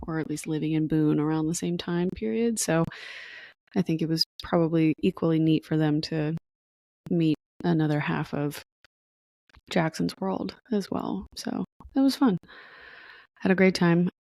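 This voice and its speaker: A tired, hoarse woman hosting her podcast, chatting casually and rambling with fillers and restarts. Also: sits low, dark tone, no room sound. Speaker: podcast host. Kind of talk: casual solo podcast chat.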